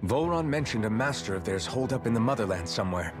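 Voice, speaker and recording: A man's voice: on the low side, expressive, quick pace, close to an everyday voice. A man playing a resolute young leader of companions in a fantasy game, earnest and determined. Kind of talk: dramatic fantasy game voice acting. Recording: loud music over the voice.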